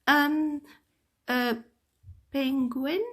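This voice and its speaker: Storybook role: tiny cheeky bear cub, hesitant and sheepish, high voice acted by a woman. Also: steady tone, extremely slow, a bit bright, heavily acted. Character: small cheeky young polar bear cub. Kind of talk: children's storybook character voice.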